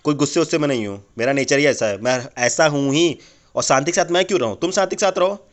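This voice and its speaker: Man playing a cynical motivational guru, slightly high voice, coarse, swearing, irritable rambling rant, comedy phone-call sketch. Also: quick pace, lightly acted. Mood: irritated. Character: crude, irritable, cynical male motivational speaker admitting his con.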